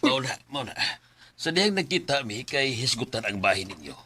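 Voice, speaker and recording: Casting: an older Cebuano father, lovestruck and insistent, very forceful, husky, lively intonation, radio drama. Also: mid-range voice, normal speed, heavily acted. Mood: earnest. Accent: Cebuano Filipino. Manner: earnest, insistent. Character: older father, stubbornly in love again. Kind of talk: Filipino radio drama acting.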